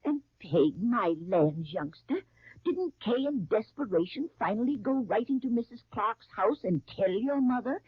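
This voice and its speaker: An elderly grandmother who chides with tenderness, in the style of 1930s radio drama. Her voice is a little high and husky and moves a lot in pitch. The sound is a thin, lo-fi 1939 broadcast recording. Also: expressive, measured pace, no echo. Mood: pleading. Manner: coaxing, scolding tenderly. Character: elderly grandmother, gentle but firm. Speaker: radio soap actress. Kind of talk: 1930s radio soap acting.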